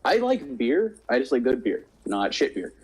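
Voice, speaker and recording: A man's voice: medium pitch, very animated, normal speed, darkish tone, slightly rough, rough recording. A young man in casual conversation as a co-host, telling stories with swearing and joking banter. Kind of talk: casual storytelling chat over a game.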